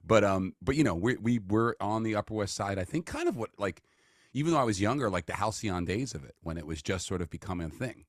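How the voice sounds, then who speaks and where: Deep-voiced wry New man. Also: expressive, dry recording, studio quality. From New York. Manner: wry, joking, quick riffing. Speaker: podcast guest, musician. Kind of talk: casual podcast chat.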